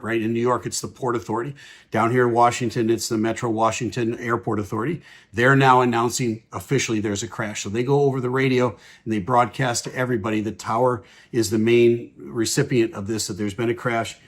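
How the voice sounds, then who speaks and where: A man, a pilot, calmly explains air traffic radio calls in measured commentary with frequent pauses. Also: mid-range voice.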